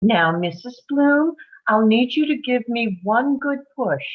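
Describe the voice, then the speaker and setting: A woman's voice: on the high side, lively intonation, measured pace, narrow, tinny audio. A woman playing a comforting young doctor in a script table read, over thin call audio. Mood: coaching, urgent.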